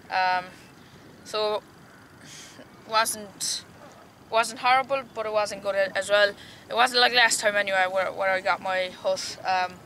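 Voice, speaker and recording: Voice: mid-range voice, bright, forceful tone. A young angler, chatting informally and in a rambling way as a fishing vlogger outdoors. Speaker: angling vlogger. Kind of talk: casual fishing vlog chat. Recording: noisy outdoor recording with water and ambience.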